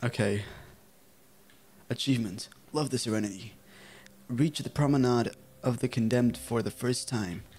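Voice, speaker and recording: A man's voice: mid-range voice, normal speed, long pauses, a little mellow, slightly rough. Giggly young Dutch man with British-sounding English. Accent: Dutch speaker with a British-style English accent. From Netherlands. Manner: giggly, easily flustered, reads chat and game text aloud. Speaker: streamer. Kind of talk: casual game stream chat. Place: small room at home, indoors.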